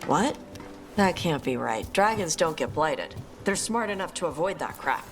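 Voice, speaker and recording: A woman's voice: deep voice, lively intonation, moderate pace. A woman acting a brash, proud dragon hunter in a video game, blunt and casual in her phrasing. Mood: matter-of-fact. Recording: loud game music behind.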